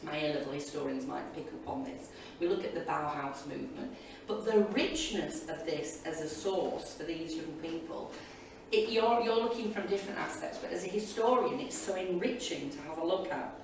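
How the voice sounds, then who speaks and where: A woman's voice: deep voice, normal speed, few pauses. A woman teacher giving informal, enthusiastic and rambling reflections to an audience as a panelist. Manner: chatty, enthusiastic, digressive. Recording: echoey hall, distant mic, loud room noise.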